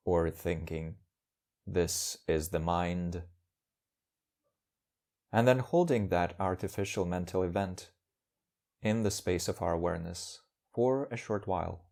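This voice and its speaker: A man leading a guided meditation as a teacher, speaking calmly in measured, short phrases with pauses. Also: low-pitched.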